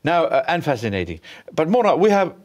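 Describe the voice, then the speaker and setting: Halting male talk show host. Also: on the high side, big pitch swings. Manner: hesitant, halting with fillers and restarts. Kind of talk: interviewing a guest.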